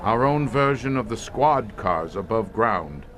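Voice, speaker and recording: An actor playing a grim, weary older commander of a secret monster-hunting police squad in an audio horror drama, speaking in florid, deliberate phrases. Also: male voice, on the low side, expressive, background noise. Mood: businesslike, grim. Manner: eloquent, florid, deliberate speech.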